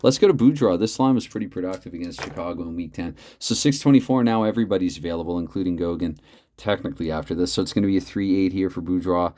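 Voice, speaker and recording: Deep-voiced man calling a tabletop hockey replay. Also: quick pace. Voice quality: loud voice, holding back. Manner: chatty, thinks aloud over the dice math. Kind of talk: mock play-by-play commentary between dice rolls.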